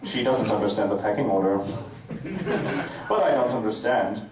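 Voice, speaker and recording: A man's voice: on the low side, big pitch swings, normal speed, background noise. A man performing a puzzled, aloof cartoon servant in a comic dramatic reading. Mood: mock-dramatic. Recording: echoey room from far, audible crowd.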